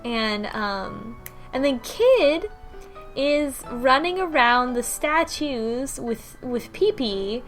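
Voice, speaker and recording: A woman's voice: sits high, lively intonation, drawn-out words, a bit bright, faint music behind, low-quality recording. A woman podcast host casually recapping a story in a loose, conversational way, as if thinking aloud. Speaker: book club podcast host.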